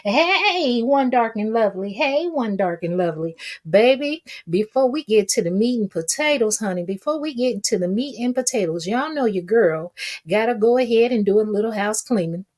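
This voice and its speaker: A woman's voice: a little high, animated, moderate pace, darkish tone. A woman giving casual gossip commentary as an online host, sassy and folksy, often repeating phrases for emphasis. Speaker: YouTube commentator and channel host.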